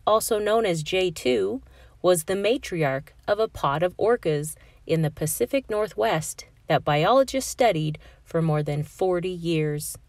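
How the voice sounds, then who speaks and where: A woman reads a children's storybook aloud as narrator. Her pace is slow and measured, and the recording is dry with little room sound. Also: lowish voice, dry recording. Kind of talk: scripted picture-book narration.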